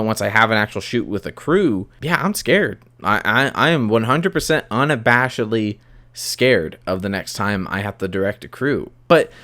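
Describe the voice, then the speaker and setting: A young man hosting a solo podcast, talking candidly and confessionally in a halting, self-reflective way that often doubles back on phrases. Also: on the low side, fairly bright tone. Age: young adult.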